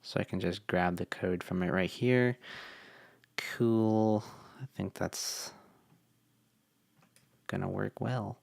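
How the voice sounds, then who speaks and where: Low gravelly man thinking aloud. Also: slow, pauses often, no room sound. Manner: relaxed, thinking aloud with fillers. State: tired voice from long meetings. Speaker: web developer. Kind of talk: casual coding tutorial.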